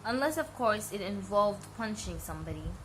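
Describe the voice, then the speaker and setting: A woman's voice: mid-range voice, expressive, normal speed. Woman reading a bedtime story, noisy recording. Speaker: amateur storybook reader.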